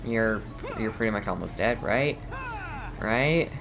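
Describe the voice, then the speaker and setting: A man giving casual, playful gameplay commentary as he streams, often halting and muttering to himself. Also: fairly low pitch, animated, over music. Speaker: streamer playing a video game. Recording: game ambience clearly behind the voice.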